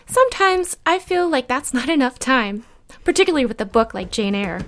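A woman's voice: high voice, sing-song, fairly quick, background noise. An actress playing a gracious, bookish mid-century book club lady in an audio drama, polite, refined and encouraging. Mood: friendly. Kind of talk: period audio drama dialogue.

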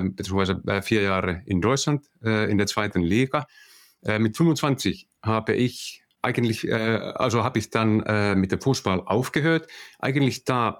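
A middle-aged man from Finland with a Finnish accent, answering interview questions hesitantly with many fillers. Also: fairly low pitch. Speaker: guest, managing director.

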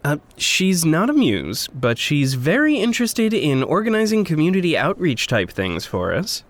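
A man's voice: on the high side, wildly swooping pitch, moderate pace. An actor playing an awkward, earnest, reserved young man in a fiction podcast, speaking naturally with hesitant, careful, formal wording. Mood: awkward, unsure.

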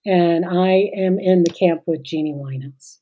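A middle-aged woman with a mellow, fairly low voice co-hosts a podcast. She talks casually and haltingly, trails off, and fills gaps with "uh" and "you know". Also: breathy edge, highs cut off. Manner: hesitant, trailing off, many fillers. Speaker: podcast co-host.

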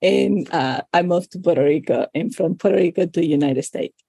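A woman's voice: lowish voice, moderate pace, vocal fry, warm tone, husky, no echo. A Spanish-speaking woman from the Dominican Republic with a Dominican accent, answering as a podcast guest, hesitant with many fillers. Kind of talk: casual interview answers.

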